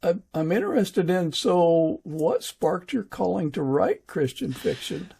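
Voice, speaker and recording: A man with a slightly high, creaky voice and a husky edge. He works as a podcast interviewer, conversational and halting, thinking aloud as he goes. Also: very animated, mellow.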